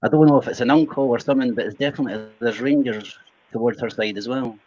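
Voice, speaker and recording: A man's voice: mid-range voice, quick pace, darkish tone. A man on a casual live chat, giving hesitant intuitive readings as a psychic medium. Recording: dull lo-fi audio.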